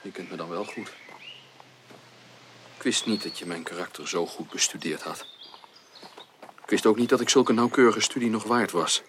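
An actor playing an ardent, easily wounded young gentleman suitor in a radio drama, earnest and hesitant in formal speech. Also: on the low side, normal speed, long pauses, a little hiss or hum, natural voice. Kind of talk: 1980s Dutch radio drama acting.

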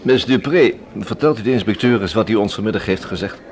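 Actor as businesslike low-voiced police inspector. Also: male voice, a little mellow, a little hiss or hum, natural voice. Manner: businesslike, deliberate, polite. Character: businesslike male police inspector, friendly and measured. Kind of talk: radio drama acting.

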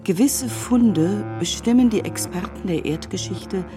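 A woman giving a measured, scripted literary narration in an essayistic manner for a radio production. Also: medium pitch, over music.

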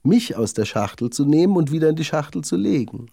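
A man's voice: fairly high pitch, sing-song, a little fast, vocal fry, warm tone, husky, no room sound, studio quality, pitched above the performer's own voice, full character voice. Man voicing a vain, haughty little lady needle. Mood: boastful, scornful. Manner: proud, self-satisfied, genteel. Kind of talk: children's fairy-tale storytelling.

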